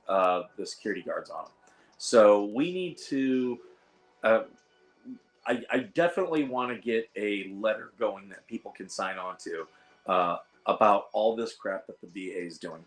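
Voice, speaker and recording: A hoarse man hosting a casual livestream, rambling with lots of fillers and reading out the chat. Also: lowish voice, a bit bright. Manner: rambling, reads chat aloud, frequent fillers. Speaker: host of a veterans livestream. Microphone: iPad.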